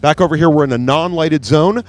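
A man's voice: fairly high pitch, fairly quick. Trade-show presenter, man, 40s, salesy and upbeat, handheld mic, faint music under. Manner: upbeat, salesy, pointing things out. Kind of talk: live product demo narration. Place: large convention hall trade booth.

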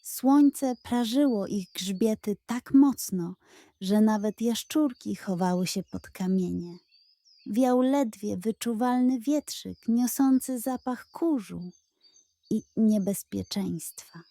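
A woman narrating a children's audio story in a dramatic, measured storytelling manner. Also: a little high, dark tone, no room sound.

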